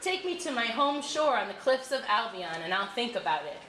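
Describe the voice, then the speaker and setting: Woman playing a defiant shipwrecked sailor, lively pitch. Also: normal speed. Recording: roomy, noisy school room recording.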